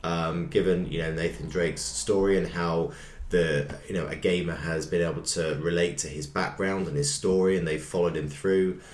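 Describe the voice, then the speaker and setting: A man's voice: deep voice, fairly even intonation, normal speed, hardly any pauses. A man co-hosting a podcast, talking casually and explaining his view with frequent little "you know" asides. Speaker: podcast co-host. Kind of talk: casual discussion chat. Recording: echoey room with background noise.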